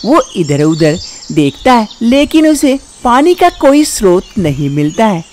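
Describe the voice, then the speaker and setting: Lively, slow-paced woman storyteller. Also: mid-range voice, slight background noise. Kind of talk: scripted narration of children's animal fable.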